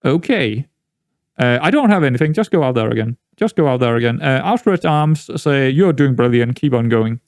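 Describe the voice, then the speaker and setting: A young man with a Danish accent, a native Danish speaker, chatting casually through a football management game, rambling with many restarts and jumping into excited outbursts. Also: mid-range voice, moderate pace, no echo, studio quality. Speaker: gaming YouTuber.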